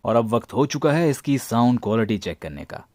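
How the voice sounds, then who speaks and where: An Indian man narrating a gadget review as its presenter, with a deadpan, tongue-in-cheek delivery. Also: medium pitch, fairly quick, no room sound.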